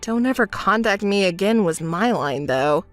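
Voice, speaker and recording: A woman voicing a calm, reproachful young ex-wife in a melodramatic story, in a cool, measured, dismissive manner. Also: medium pitch, faint music behind, pitched below the performer's own voice, close to an everyday voice. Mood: calm, puzzled.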